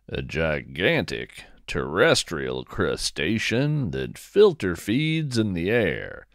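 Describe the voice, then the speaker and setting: A man hosting a scripted fiction podcast, narrating as a musing field naturalist in a measured, folksy storytelling manner. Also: on the low side, dry recording, studio quality. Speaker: podcast host.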